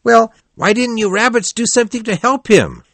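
A man's voice: very high-pitched, lively intonation, normal speed, fairly bright tone, no room sound, voice pushed up, full character voice. A man voicing a bold, chatty otter in a storybook, swaggering and full of exclamation. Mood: excited, indignant. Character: bold, boisterous male otter, confident and chatty.

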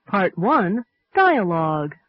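Slow, lively woman on tinny radio. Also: mid-range voice, dry recording. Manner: slow, clearly enunciated, lively intonation. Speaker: English lesson presenter. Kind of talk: scripted textbook reading. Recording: tinny narrow-band radio sound.

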